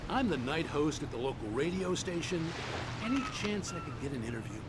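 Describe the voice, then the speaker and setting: An actor playing a chatty small-town radio night host in a video game, polite, folksy and deferential. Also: male voice, fairly high pitch, big pitch swings, a little fast, heavy background noise. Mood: friendly, courteous.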